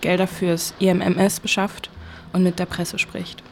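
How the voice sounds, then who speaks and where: A woman's voice: fairly low pitch, level tone, normal speed, creaky, darkish tone, slight background noise. A young woman playing a dry, matter-of-fact podcast co-host in an audio drama, casual and laconic.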